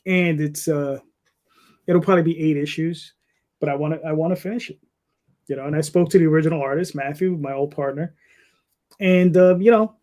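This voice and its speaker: A man in his fifties with a fairly high-pitched voice, speaking casually as a comic creator being interviewed. He rambles through his thoughts in a loose, conversational way. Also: fairly quick, long pauses, mellow. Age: 50s.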